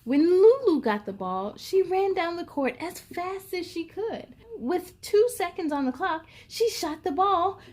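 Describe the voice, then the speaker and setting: A woman's voice: extremely high pitch, expressive, a little slow, hardly any pauses, a little mellow. A woman hosting a read-aloud for young children, telling picture-book stories expressively and speaking straight to her listeners.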